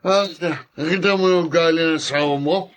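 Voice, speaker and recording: An actor as a drunken, touchy old Filipino uncle in a radio drama. He is quarrelsome and slurring, with a slightly high male pitch. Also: fairly quick, smooth, lightly acted.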